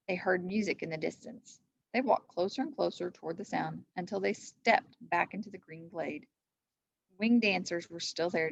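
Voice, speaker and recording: Creaky, low-voiced woman reading a storybook. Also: dry recording, dull audio. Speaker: teacher reading aloud. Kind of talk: storybook read-aloud narration.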